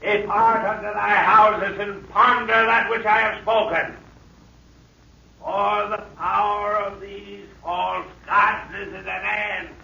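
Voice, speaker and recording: A solemn ancient herald proclaims in a very high, gravelly voice. His archaic, ceremonious words ring out slowly from far off in an echoing space. It sounds like a dull old radio recording. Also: little pitch movement, pushed and bright, heavily acted. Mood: exhorting. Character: solemn male herald of an ancient rock city. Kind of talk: 1930s radio drama acting.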